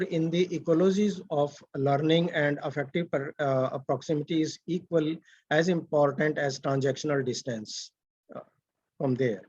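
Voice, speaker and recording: A man gives an online academic presentation, speaking haltingly with many fillers and restarts. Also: a little high, darkish tone, husky, no echo. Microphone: video call. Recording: dull, dry video call audio.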